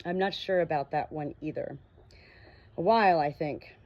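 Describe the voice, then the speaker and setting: A woman's voice: deep voice, moderate pace. A woman voicing a shy, wry and frank amnesiac ghost girl in an audiobook, with a hesitant manner. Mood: frank, unsure. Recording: dull, muffled lo-fi audio.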